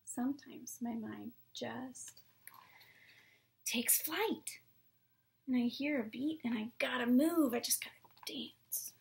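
A young adult woman reading picture books to children at story time in a soft, hushed, breathy manner. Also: a little high, takes it slowly. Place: small room at home, indoors.

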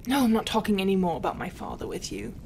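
A woman playing a proud, brooding, non-human pirate captain in a roleplay, guarded and often dropping into a half-whisper with hesitant pauses. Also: mid-range voice, lively intonation, darkish tone, a little hiss or hum, close to an everyday voice. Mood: wistful, grave. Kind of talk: roleplay ASMR acting.

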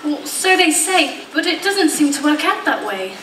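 A woman playing a gentle, downtrodden young heroine in a stage pantomime. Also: sits high, big pitch swings, moderate pace, slight background noise. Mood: polite, puzzled. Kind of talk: theatrical pantomime acting. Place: large hall stage, indoors. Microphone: far camera. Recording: echoey stage hall from far off.

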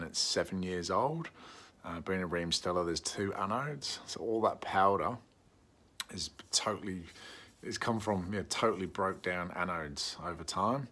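A man's voice: deep voice, fairly bright tone, breathy edge. A man in his forties, a plumber, explaining his work step by step in a casual, plain-spoken vlog, recorded on a phone at arm's length outdoors. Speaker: plumber presenting a how-to video. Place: outdoors in a residential yard by a brick wall.

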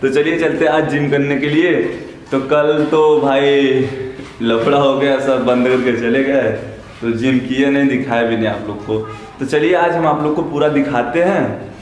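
A man's voice: medium pitch, fairly even intonation, unhurried. A young man talking casually to a camera he holds at arm's length, narrating his day and calling his viewers "bhai". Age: young adult. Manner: chatty, keeps saying "bhai". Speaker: vlogger. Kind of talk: casual selfie vlog. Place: outdoors, home and gym. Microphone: camera held at arm's length. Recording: echoey with loud street noise.

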